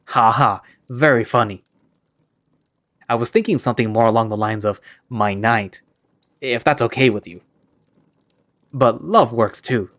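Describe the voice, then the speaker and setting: A man's voice: medium pitch, a little slow, pauses often, fairly bright tone, no echo, clean studio sound, close to an everyday voice. A man voicing an affectionate, teasing male lion-like knight in a furry romance story. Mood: playful. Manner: playful, teasing.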